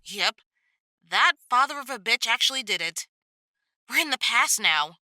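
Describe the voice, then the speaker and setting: A woman's voice: fairly high pitch, animated, moderate pace, sharp tone, no echo, studio quality. A young woman playing a frank, wry teenage girl hero in a conversational audio drama style. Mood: wry, concerned.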